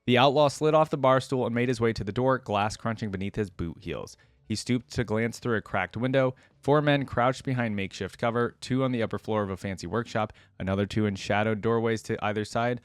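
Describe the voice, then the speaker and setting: A man giving casual reaction commentary, curious and conversational, reading aloud and thinking out loud. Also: mid-range voice, fairly quick, few pauses, smooth, no echo. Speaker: video creator reacting to game lore.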